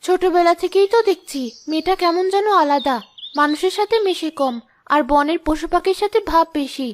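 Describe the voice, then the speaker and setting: A woman voicing a fretful village wife and anxious mother in an expressive cartoon drama. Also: extremely high pitch, fairly quick, slight background noise. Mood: worried.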